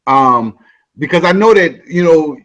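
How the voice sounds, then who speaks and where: High-pitched chatty Midwestern man. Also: lively intonation, unhurried, a bit bright, rough recording. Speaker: host. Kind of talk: casual chat.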